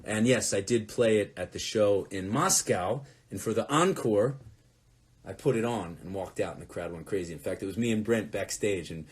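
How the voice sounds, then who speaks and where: A man's voice: fairly low pitch, normal speed. A man chatting casually from home during a live fan Q&A, telling rambling anecdotes. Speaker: musician hosting a fan Q&A. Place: at home, next to a closet.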